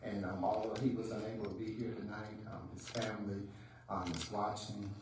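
Low-voiced councilman echoing a tribute. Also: level tone, normal speed, slight background noise. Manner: earnest, warmly religious phrasing. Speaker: city councilman. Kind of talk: formal tribute remarks. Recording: echoey chamber from far off.

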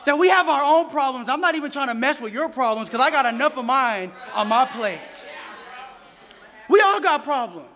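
A man in his thirties preaching a sermon from a pulpit mic, animated and playful, bantering with the congregation. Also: very high voice, normal speed. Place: church sanctuary, indoors. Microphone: stand mic at a pulpit. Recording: reverberant church hall with faint congregation.

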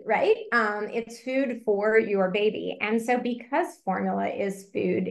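A middle-aged woman pediatrician giving a webinar presentation over a video call, explaining things clearly and earnestly. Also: mid-range voice. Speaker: pediatrician, medical advisor.

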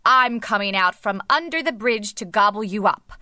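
Woman putting on a crabby, roaring troll, forceful. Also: mid-range voice, animated, moderate pace, no echo, clean studio sound. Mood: menacing. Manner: roaring, threatening. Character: great ugly troll, hungry, crabby, menacing male creature.

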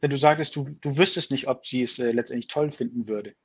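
A man's voice: medium pitch, quick pace, husky. A man who is not a native speaker, talking hesitantly and reflectively on a video call from a room at home. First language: not German. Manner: hesitant, restarts words, reflective. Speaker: guest. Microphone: video call webcam.

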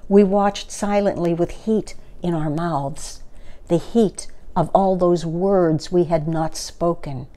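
A woman in her sixties reading a children's story aloud with gentle, measured, deliberate phrasing, recorded indoors at about arm's length. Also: lowish voice. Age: 60s. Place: small furnished living room, indoors.

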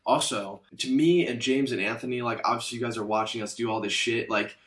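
A man's voice: mid-range voice, fairly even intonation, fairly quick, a bit bright. A young man chatting casually on a vlog in a hotel room, rambling earnestly with lots of fillers. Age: young adult. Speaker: fitness creator and guest. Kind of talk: casual vlog talk to camera.

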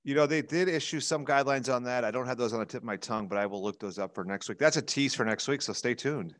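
A man's voice: medium pitch, quick pace, a bit bright, no echo, studio quality. A middle-aged American man chatting informally and informatively as a show panelist on a video call. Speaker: legislative advocate and show panelist. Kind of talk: casual informational chat. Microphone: headset on a video call.

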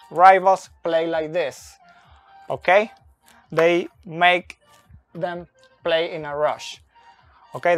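Man explaining soccer tactics, non-native English. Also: on the high side. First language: not English. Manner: slow, deliberate, with fillers and restarts, pointing at a board. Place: indoor room with a brick wall and whiteboard. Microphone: phone camera, close and moving.

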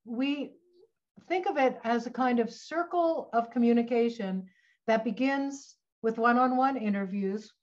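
An older woman giving a prepared introduction as host of an event, speaking over a video call. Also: a little high. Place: home office on a video call. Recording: dull, lo-fi video call audio.

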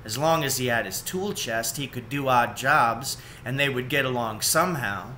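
A man's voice: medium pitch, moderate pace, pushed and bright, a little hiss or hum. A man in his forties reading a children's storybook aloud, recorded indoors at home on a webcam.

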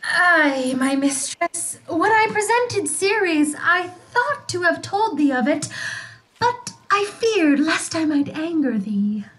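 Woman as a very high-pitched mischievous airy spirit. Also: takes it slowly, full character voice, poor audio. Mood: eager obedient. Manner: animated, relishing storytelling. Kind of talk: Shakespearean radio play acting.

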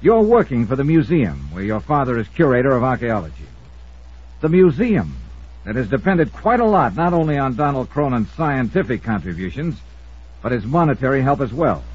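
An American man acting a brisk, commanding, hard-boiled investigator in an old-time radio detective drama, also narrating it. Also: medium pitch, very animated, husky. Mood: accusing, forceful. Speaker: lead actor and narrator of a radio detective serial. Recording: muffled old radio recording.

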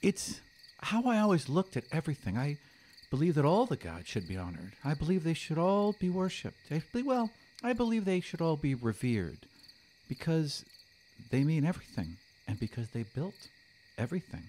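Man voicing a reverent woman envoy of the gods, swooping pitch. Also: big pitch swings, a little mellow, a little hiss or hum. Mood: reverent, earnest. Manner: measured, formal, proclaiming. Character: serene, reverent female envoy of the gods with glowing staff. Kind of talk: tabletop roleplay acting.

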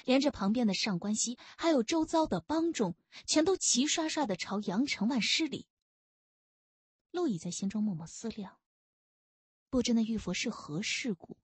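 A woman's voice: mid-range voice, a little mellow, husky, dry recording. A woman narrating a novel expressively as scripted storytelling. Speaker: audiobook narrator.

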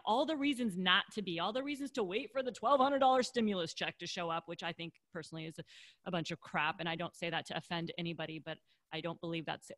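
A woman's voice: fairly low pitch, fairly bright tone, no echo. A woman in her thirties hosting a podcast, earnest and affirming, speaking conversationally into a studio microphone in a room. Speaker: podcast host, interviewer. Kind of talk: conversational motivational interviewing. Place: indoor room, close to microphone. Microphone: large studio microphone on boom arm.

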